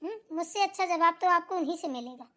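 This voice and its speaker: Woman doing a very high-pitched cheeky young sister-in-law. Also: fast, pushed and bright. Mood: defiant. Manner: mouthy, argumentative. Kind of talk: Hindi family drama cartoon dialogue.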